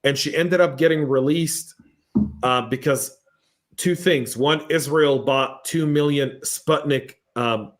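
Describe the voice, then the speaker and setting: A man hosting a podcast panel, telling lively stories and reading out viewer comments in a casual, chatty way. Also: medium pitch. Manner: animated storyteller, acts out exchanges. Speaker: podcast host.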